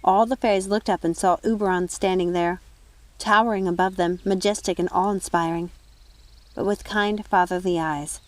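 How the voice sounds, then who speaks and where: A woman's voice: fairly low pitch, fairly even intonation, moderate pace, no echo. A woman reading a storybook aloud as an audiobook narrator. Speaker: audiobook reader.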